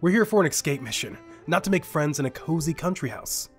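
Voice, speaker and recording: A man's voice: fairly high pitch, expressive, brisk, faint music behind, natural voice. A man acting an arrogant, irritable survivor in an audio drama, with a sardonic, condescending manner. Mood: cynical. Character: arrogant, irritable, cynical male survivor with a ship.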